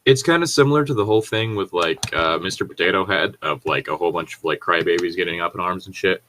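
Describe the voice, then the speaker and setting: A man's voice: deep voice, quick pace, a bit bright, slight background noise. A man in casual, opinionated conversation, talking bluntly and swearing heavily.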